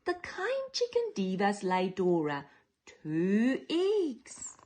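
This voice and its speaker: A woman reading a children's picture book aloud in a playful, theatrical way, with dramatic whispers and drawn-out words. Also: mid-range voice, wildly swooping pitch, a little mellow. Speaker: children's storytime reader.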